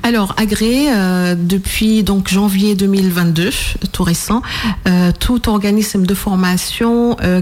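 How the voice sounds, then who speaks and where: A woman with a Palestinian accent speaks at a middling pitch, hesitant and filling pauses often. She sounds like a guest in a radio interview, in her forties, explaining her work carefully. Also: a little mellow. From Palestine, near Jerusalem. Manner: hesitant, frequent fillers. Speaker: guest, language trainer.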